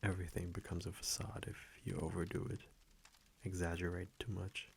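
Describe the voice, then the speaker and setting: A man playing an affectionate centuries-old vampire boyfriend in an intimate ASMR roleplay, speaking softly, hesitantly and teasingly into a close microphone. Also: low-pitched, little pitch movement, fairly quick, creaky, a little hiss or hum. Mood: amused, affectionate. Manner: soft, hesitant, teasing, chuckling between phrases.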